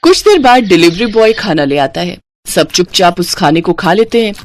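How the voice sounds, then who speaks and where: A woman's voice: lowish voice, lively intonation, normal speed. A woman narrating a scripted family moral story as storyteller.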